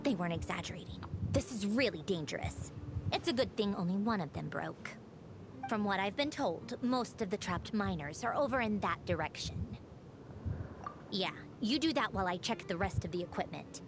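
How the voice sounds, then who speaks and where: A woman voicing a haughty, animated female engineer commander. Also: medium pitch, moderate pace, noisy background, close to an everyday voice. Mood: brisk, resolved. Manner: dry, impatient, teasing. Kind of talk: scripted video game voice acting. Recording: music under the voice. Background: game music.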